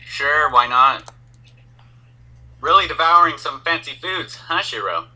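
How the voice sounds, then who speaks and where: A man voicing a lazy, dramatic young gamer big brother for an anime fandub, theatrical and prone to swing from drawl to yelling. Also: on the high side, animated, unhurried, heavily acted, poor audio. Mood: teasing, lazy. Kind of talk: anime fandub script reading.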